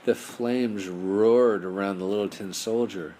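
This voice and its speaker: Low-voiced man reading a bedtime story. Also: fairly even intonation, a little slow, warm tone. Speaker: audiobook narrator. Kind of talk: scripted bedtime story narration. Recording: noisy amateur home recording.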